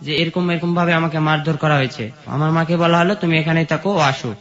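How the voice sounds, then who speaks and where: A high-pitched male voice with a rural Bangladeshi accent, slightly muffled in the recording. He tells his life story to an interviewer in a plain, repetitive way, moving step by step through what happened. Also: a little fast, fairly bright tone. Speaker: guest telling life story. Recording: dull, muffled lo-fi sound.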